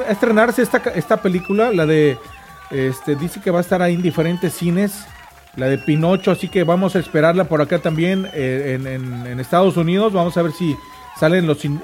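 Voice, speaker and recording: A man with a Mexican accent hosts a casual radio chat in a fairly high voice. His talk is colloquial and slangy, with soft music playing behind him. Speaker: talk show host.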